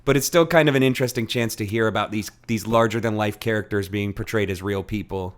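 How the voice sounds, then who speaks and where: A man's voice: lowish voice, fast. A man co-hosting a casual book discussion, speaking informally with slang and steering the talk along. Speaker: podcast co-host.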